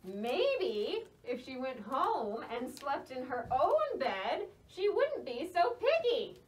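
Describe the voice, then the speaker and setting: A woman's voice: high-pitched, big pitch swings, slow pace, distant mic, full character voice, rough recording. A woman voicing an anxious, indignant little mouse in a children's puppet show, fretful and full of exclamations. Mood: worried.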